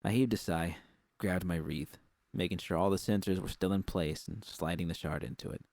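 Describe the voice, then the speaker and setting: Very deep, gravelly male story narrator. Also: normal speed, darkish tone. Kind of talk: first-person scripted fiction narration.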